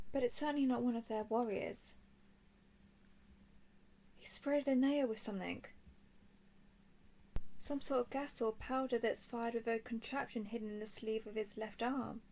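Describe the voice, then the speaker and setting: A woman playing a watchful, confident dragon-clan scout in a scripted roleplay audio drama, with measured, expressive delivery. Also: a little high, gravelly. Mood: alarmed, intent. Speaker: voice performer.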